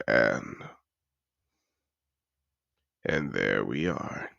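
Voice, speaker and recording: Fantasy audio roleplay: male actor as a wealthy, seductive dragon in human guise; extremely low, slow, cultured and effusive. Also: gravelly, pushed and bright, no room sound, heavily acted. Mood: proud, enchanted. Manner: refined, effusive, theatrical phrasing. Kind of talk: scripted audio roleplay addressed to the listener.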